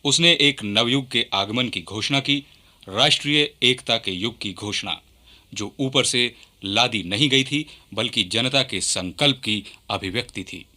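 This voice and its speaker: A man's voice: medium pitch, a little slow, sharp tone. A man delivering scripted radio documentary narration in a formal, declamatory manner.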